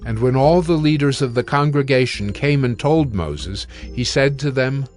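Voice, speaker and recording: A man with a medium-pitched voice narrates scripture dramatically. He reads in a solemn, measured way, with faint music beneath him. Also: lively intonation, darkish tone.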